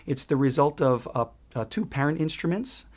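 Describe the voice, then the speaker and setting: A man's voice: on the high side, expressive, normal speed. A middle-aged man from Hawaii, answering interview questions in an earnest way, with many restarts and fillers. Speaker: guest, ukulele shop owner. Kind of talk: conversational interview.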